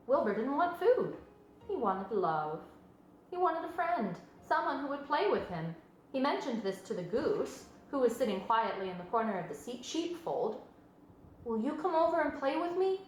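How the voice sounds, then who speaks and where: Woman reading a kids book out loud, animated, very echoey room w faint noise. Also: fairly high pitch, moderate pace. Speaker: storyteller reading a children's book aloud. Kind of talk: expressive scripted narration.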